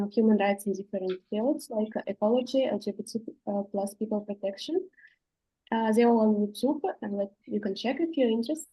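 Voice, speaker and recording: A woman coordinator kicks off an online session, pausing often and filling gaps as she goes. Her voice sits a little high, with a soft, dark tone and a husky edge. She is speaking over a video call. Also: rough recording. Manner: hesitant, with many fillers. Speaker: workshop coordinator. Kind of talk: webinar opening remarks.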